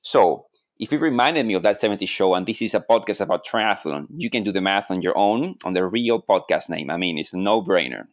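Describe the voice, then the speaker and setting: Low-voiced male co-host, wry, jokey intros. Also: animated, brisk. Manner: wry, joking guest introduction. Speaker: podcast co-host. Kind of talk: podcast interview.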